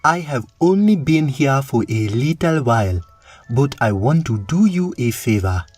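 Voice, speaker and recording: A man's voice: mid-range voice, very animated, unhurried, darkish tone, dry recording, faint music behind. A man playing a jolly, humble tavern cook in a fantasy audio drama, earnest and eager to help. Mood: helpful. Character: jolly, humble male tavern cook, kindly and easily awed.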